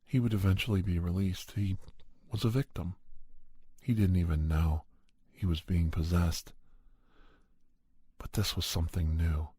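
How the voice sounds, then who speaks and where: A man with a soft, breathy, raspy voice telling horror stories in a hushed, deliberate ASMR style, close to the microphone. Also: deep voice, fairly even intonation, no room sound. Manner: slow, hushed, deliberate delivery. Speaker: podcast host and storyteller. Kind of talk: soft ASMR first-person horror storytelling.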